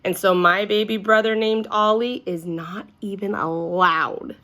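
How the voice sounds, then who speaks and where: A woman voicing an excitable, boastful little first-grade girl with emphatic childlike phrasing in a storybook read-aloud. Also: medium pitch, measured pace. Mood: excited, boastful.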